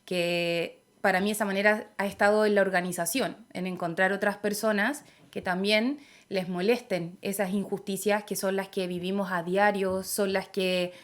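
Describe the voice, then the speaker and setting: A woman's voice: medium pitch, steady tone, moderate pace, few pauses. Thoughtful young Chilean woman. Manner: thoughtful, searching for words, hesitant fillers. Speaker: interview guest. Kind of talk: reflective conversational interview.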